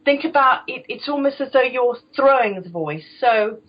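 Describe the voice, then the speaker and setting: British woman, middle-aged, high husky voice, expert interview guest, encouraging explanations, conversational. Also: animated, normal speed, muffled recording. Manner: explanatory, encouraging, checks understanding. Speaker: guest voice coach, former opera singer.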